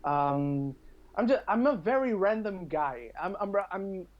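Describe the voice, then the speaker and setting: Higher-pitched man, dull lo-fi sound. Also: animated, measured pace. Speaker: podcast speaker. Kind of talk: casual podcast chat about games and films.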